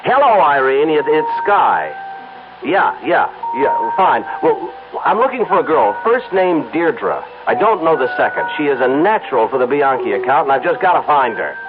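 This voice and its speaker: An actor playing a middle-aged American advertising executive and husband in a radio drama, flustered, defensive and given to stammering. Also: male voice, on the high side, very animated, normal speed, music in the background. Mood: urgent, businesslike. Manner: flustered, stammering, swooping emphasis. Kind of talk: old-time radio drama acting. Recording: thin, noisy phone-like old radio broadcast.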